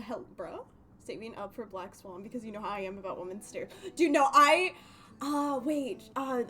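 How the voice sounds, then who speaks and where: A woman's voice: on the high side, pushed and bright. A woman casually chatting as a livestream host, rambling playfully and often breaking off mid-thought. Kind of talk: casual gaming stream chat.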